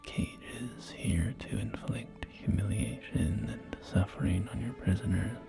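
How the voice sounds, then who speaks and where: Slow, creaky whispering man playing a polite salesman. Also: fairly low pitch, exaggerated voice. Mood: matter-of-fact, proud. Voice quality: soft, breathy whisper with creaky murmur. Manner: courteous, measured salesman patter, unhurried. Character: polite, unflappable veteran real estate agent of a post-apocalyptic wasteland. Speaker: ASMR roleplayer. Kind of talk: ASMR roleplay sales tour. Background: music.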